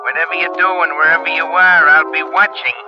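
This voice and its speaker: Actor as a menacing radio-drama blackmailer, muffled sound. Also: male voice, fairly high pitch, level tone, fast talker, close to an everyday voice. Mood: cold menace. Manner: threatening, commanding. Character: menacing male blackmailing ex-convict, cold and threatening. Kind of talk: old-time radio crime drama acting. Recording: muffled vintage radio recording, music behind.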